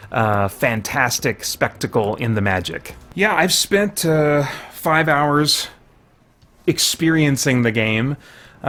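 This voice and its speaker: A man's voice: medium pitch, fairly bright tone. A man giving a casual video game review as host, thinking aloud and qualifying his points in a conversational way. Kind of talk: casual spoken review commentary.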